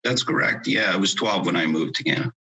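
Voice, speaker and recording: Deep, husky man from Egypt. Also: animated, fast talker, sharp tone, muffled recording. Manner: hesitant, many fillers and restarts. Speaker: guest. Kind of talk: casual podcast interview.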